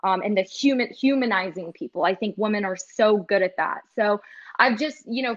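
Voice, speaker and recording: A woman's voice: mid-range voice, muffled recording. A woman who works as a sports host and reporter, speaking earnestly in a casual interview with lots of "like" and "you know". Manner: earnest, filler-heavy with many "like" and "you know". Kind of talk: casual podcast interview talk.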